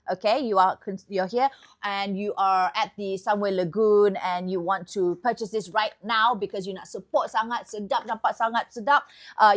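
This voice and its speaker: A woman with a Malaysian accent hosting a chatty, upbeat promotional tour of a hotel room indoors. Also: medium pitch. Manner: chatty, upbeat sales patter. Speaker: host.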